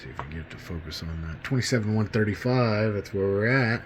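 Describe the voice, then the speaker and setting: A middle-aged man with a fairly low voice gives a casual, hands-on product demo. He sounds folksy and keen, like a hobbyist chatting to fellow fans. There is some faint noise in the recording. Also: animated, fast talker. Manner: folksy, enthusiastic, informal. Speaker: hobbyist video host.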